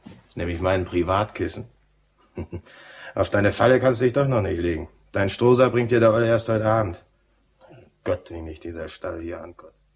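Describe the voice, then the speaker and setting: An actor playing a cynical, gruff prison cellmate in a radio drama, with a colloquial, mocking, know-it-all manner. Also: male voice, lowish voice, moderate pace, long pauses, close to an everyday voice. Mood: grumbling. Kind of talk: German radio drama acting.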